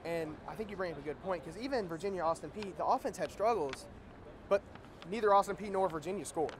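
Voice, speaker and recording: A young man co-hosting a postgame sports recap, outdoors in a big stadium at night on a lapel mic, briskly leading the talk and asking questions. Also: sits high. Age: young adult. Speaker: co-host and sports editor. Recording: stadium crowd noise behind.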